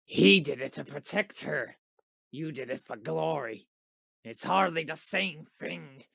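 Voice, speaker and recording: A timid young pirate crewman in an audio play. His voice sits high for a man, raspy and sharp, with heavy creak and a whiny, earnest strain. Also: lively intonation, measured pace, no room sound, clean studio sound, heavily acted. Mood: sorry, bitter. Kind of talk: theatrical audio drama dialogue.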